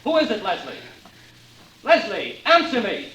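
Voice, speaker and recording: A man's voice: high voice, huge pitch swings, measured pace, a bit bright, dull audio, exaggerated voice. An actor playing a suspicious, insistent man demanding answers in theatrical 1940s radio drama style. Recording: distant, echoey old radio recording.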